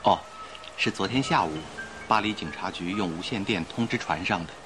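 An actor playing a suave, knowledgeable young gentleman in an old-style radio drama. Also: low voice, moderate pace, music in the background, close to an everyday voice. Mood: informative, grave.

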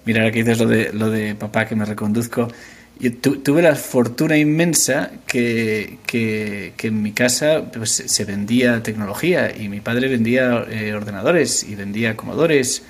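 A man's voice: on the low side, normal speed. A man in his forties from Spain with an Asturian accent, a company founder talking casually in an interview, often hesitating and restarting. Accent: Spanish, Asturian. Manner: hesitant, many restarts and fillers.

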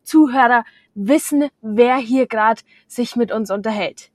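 A woman's voice: a little high, unhurried, darkish tone, dry recording, clean studio sound. A woman hosting a podcast who welcomes her guest in an enthusiastic, upbeat way. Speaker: podcast host. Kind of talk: podcast opening and introduction.

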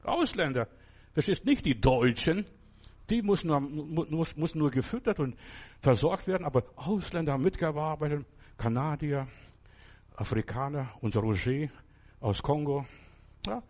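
A man's voice: fairly high pitch, expressive, moderate pace, long pauses, a little mellow. An older man preaching a sermon as pastor, German with a Lithuanian accent, emphatic and speaking straight to the listener. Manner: emphatic, addresses listener directly. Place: church hall.

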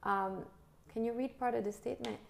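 Gravelly-voiced woman hosting a chat. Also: on the high side, fairly even intonation, a little fast. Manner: conversational, filler-heavy, prompting. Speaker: host. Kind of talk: casual interview chat.

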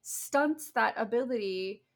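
A woman's voice: fairly high pitch, expressive, slow pace. A woman hosting an interview podcast, answering with frequent affirmations and speaking in long, loose sentences. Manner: frequent affirming backchannels, rambling with fillers. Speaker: podcast host. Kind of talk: conversational interview.

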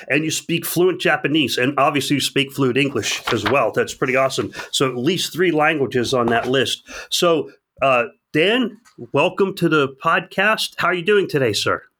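A man's voice: medium pitch, moderate pace, a bit bright, slight background noise. A man hosting a podcast, reading out a guest introduction and then chatting in a loose, casual way. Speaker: podcast host.

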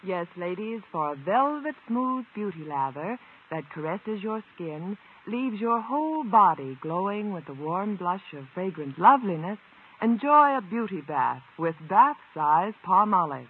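American guy selling on 50s radio, high voice, swoopy read, boxy old broadcast sound. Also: sing-song, unhurried, husky. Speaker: commercial announcer. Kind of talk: 1950s radio soap advertisement. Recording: vintage 1950 radio, narrow muffled band.

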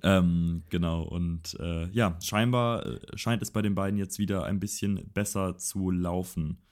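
Low-voiced young man rambling. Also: fairly even intonation, measured pace, darkish tone. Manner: rambling, self-correcting. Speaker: podcast co-host. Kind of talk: casual political chat.

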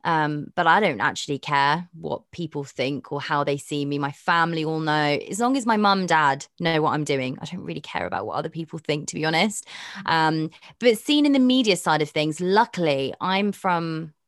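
A young woman with a notably low voice talks frankly and chattily as an interview guest, thinking out loud as she goes. The sound is dry and close, with no room around it. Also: lively intonation, normal speed, no echo. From Pakistan. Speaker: presenter and dating podcast host, guest. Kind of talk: candid interview conversation.

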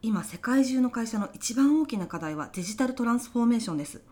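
A woman plays a veteran female interviewer in a comedy radio sketch. Her voice sits fairly high, and her wording is formal and courteous, with exasperation never far away. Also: lively intonation, quick pace, dark tone. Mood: stern, suspicious. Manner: formal, polite business speech. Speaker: job interviewer. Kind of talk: audio comedy sketch acting.

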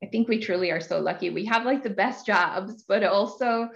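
Woman, Norwegian native, gravelly, chatty guest, fillers and soft laughs, dull lo-fi sound. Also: medium pitch, normal speed, a little hiss or hum. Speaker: guest, localization professional. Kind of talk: podcast interview.

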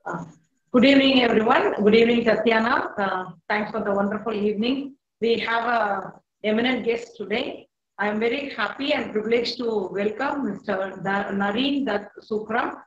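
A woman's voice: on the high side, normal speed. Hesitant woman co-host, echoey room. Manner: hesitant, formal, many fillers. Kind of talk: hosting an online talk show. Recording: echoey, dull lo-fi sound.

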